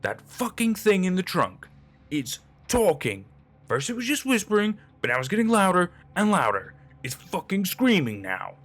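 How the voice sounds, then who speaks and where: A man's voice: high voice, huge pitch swings, moderate pace, a bit bright, pitched above the performer's own voice, heavily acted. A man voicing a panicked, paranoid young man who hears voices, whispering and screaming in fear, with faint music behind. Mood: frightened pleading. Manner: frantic, pleading, screaming.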